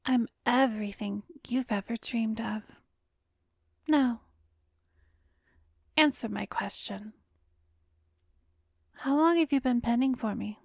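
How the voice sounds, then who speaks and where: A woman playing an arrogant, seductive vampire therapist in a roleplay ASMR, teasing and hypnotic, slipping into breathy whispers. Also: on the high side, darkish tone, no echo, clean studio sound, full character voice. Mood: smug, teasing. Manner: haughty, teasing, drawn-out delivery with breathy whispers. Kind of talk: roleplay ASMR, slow hypnotic close-up acting.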